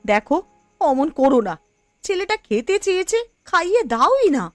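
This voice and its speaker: A woman voicing a pleading, nagging young mother in a cartoon, insistent and expressive. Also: extremely high pitch, normal speed, no echo, clean studio sound, faint music behind. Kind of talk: cartoon voice acting.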